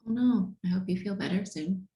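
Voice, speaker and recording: A woman's voice: medium pitch, a little fast, warm tone, echoey room, poor audio. A woman, an assistant professor, speaking briefly and conversationally in a webinar. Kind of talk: casual webinar remarks.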